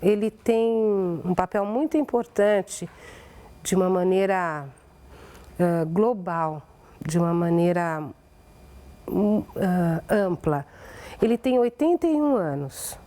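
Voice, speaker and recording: A woman with a Brazilian accent speaking in an interview as a radio executive, halting and emphatic with pauses. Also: lowish voice, dark tone. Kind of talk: interview reminiscence.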